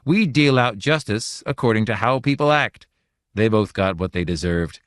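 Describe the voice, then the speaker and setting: A man's voice: on the low side, lively intonation, moderate pace, no echo, lightly acted. A man voicing a small one-eyed alien god who speaks in a sour, lofty, noncommittal way, read dry and close. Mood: aloof.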